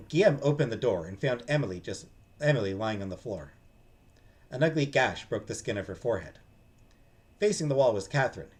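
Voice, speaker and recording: A man with a medium-pitched voice hosts a game stream and reads a visual novel aloud. His delivery is animated and dramatic, with pitch that moves around a lot as he narrates.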